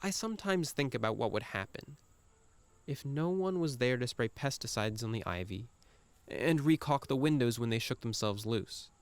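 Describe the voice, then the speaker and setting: A man's voice: mid-range voice, animated, moderate pace, smooth, dry recording. A man performing a scripted first-person monologue in a reflective, musing manner with a wry edge.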